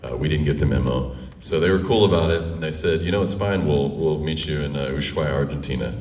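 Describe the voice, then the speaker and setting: Man, deep voice, comic live storyteller, conversational, dramatic pauses, distant mic, echoey room. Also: darkish tone. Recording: roomy echo, distant microphone.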